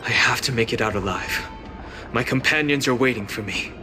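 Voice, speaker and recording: Guy voicing young loyal adventurer, earnest, medium pitch, game music playing behind. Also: normal speed, lightly acted. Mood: indignant, determined. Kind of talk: scripted game voice acting.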